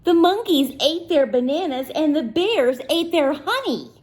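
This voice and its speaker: A woman teacher reads a picture book to young children, slowly and deliberately, leaving room for their answers. Her voice sits a little high and swoops up and down a lot, playful and sing-song as she quizzes them. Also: expressive, a little mellow. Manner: slow and deliberate, pausing for answers. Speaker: teacher reading aloud. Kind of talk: children's read-aloud with questions.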